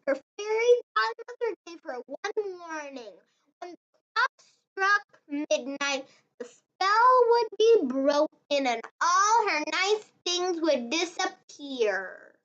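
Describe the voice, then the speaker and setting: High-pitched child reading a fairy tale slowly. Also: big pitch swings, sharp tone, muffled recording. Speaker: story reader.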